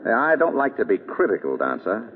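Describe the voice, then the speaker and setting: An actor playing a suave, witty, easygoing married detective with a dry urbane manner in an old radio drama. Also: male voice, lowish voice, wildly swooping pitch, brisk, slightly rough, exaggerated voice. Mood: dryly needling. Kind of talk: 1930s-40s radio drama acting. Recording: vintage radio, narrow tinny band.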